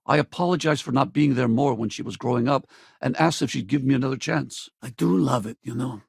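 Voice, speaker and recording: An actor playing an easygoing, gruff middle-aged spacefaring militia veteran and regretful father in a video game, with a gravelly voice and a casual, folksy, wry manner. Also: mid-range voice, a little fast, dry recording, studio quality. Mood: sincere, reflective. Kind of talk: video game companion dialogue.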